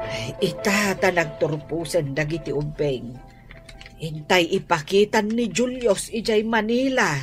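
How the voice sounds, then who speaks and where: A woman's voice: lowish voice, unhurried, faint music behind, lightly acted. Woman doing kindly old grandma in ilocano radio drama, takes her time, patient, sighs a lot. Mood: calm, resigned.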